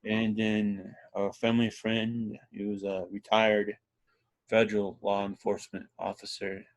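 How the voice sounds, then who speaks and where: A man's voice: lowish voice, little pitch movement, measured pace, dry recording, low-quality recording. A man giving short, hesitant answers in a casual conversation. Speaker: guest in conversation. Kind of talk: casual chat.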